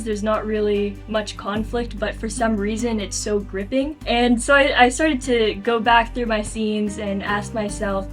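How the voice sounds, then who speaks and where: A woman speaking as an author being interviewed, telling reflective stories in an enthusiastic, earnest, conversational way. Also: medium pitch. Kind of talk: reflective storytelling in a casual interview.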